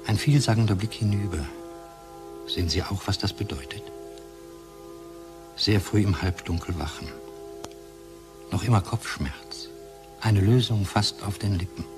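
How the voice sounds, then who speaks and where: An actor playing a sardonic, disillusioned research scientist in a German radio drama, speaking with wry irony. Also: male voice, lowish voice. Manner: wry, ironic.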